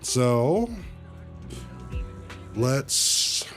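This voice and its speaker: A man's voice: mid-range voice, big pitch swings, drawn-out words, a bit bright, faint music behind. A man casually commentating on a game livestream, reading dialogue aloud in a laid-back, colloquial way and muttering to himself. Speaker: game streamer.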